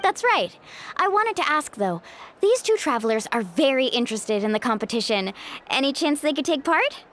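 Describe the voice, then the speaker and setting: A woman's voice: high-pitched, very animated, moderate pace, fairly bright tone, slight background noise. An actress playing a friendly, upbeat young woman in a video game, with a breezy, encouraging manner. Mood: eager, persuasive.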